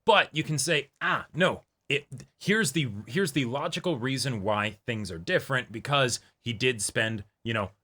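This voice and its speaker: A man's voice: medium pitch, normal speed, few pauses, pushed and bright. A man co-hosting a reaction show, casually talking through an episode and often checking for agreement. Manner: conversational, often seeking agreement.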